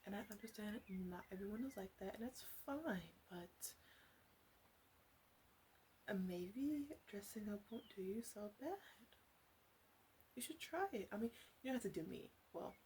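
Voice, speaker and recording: Flirty witch shopkeeper, acted by a woman, ASMR roleplay, slow soft speech, whispery drops, noisy home recording. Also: mid-range voice, animated, natural voice. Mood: teasing, candid. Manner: soft-spoken, hesitant, often dropping into whispers.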